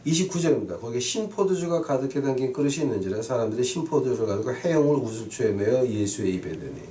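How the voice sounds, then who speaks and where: A man's voice: mid-range voice, animated, fast talker, mellow. A man preaching as a pastor, emphatic, repeating key phrases and putting questions to his listeners. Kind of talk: sermon with scripture readings and rhetorical questions. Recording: roomy echo, distant mic, faint noise.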